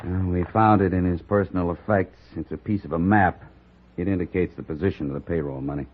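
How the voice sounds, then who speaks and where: A man's voice: low-pitched, fairly even intonation, brisk, slight background noise, close to an everyday voice. A man playing a hearty, earnest army colonel in an old-time western radio drama, businesslike and persuasive. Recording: muffled old radio recording.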